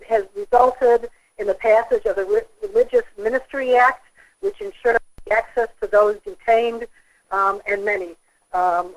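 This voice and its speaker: An American woman giving a prepared speech as an organization leader over a telephone conference line, with a halting, hesitant delivery. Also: medium pitch, poor audio.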